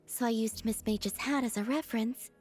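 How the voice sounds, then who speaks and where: Lady as soft airy moon goddess in a game, highish voice, gentle n kinda naive, talks formal and measured. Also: natural voice. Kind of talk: video game character acting. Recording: faint music behind the voice. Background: game music.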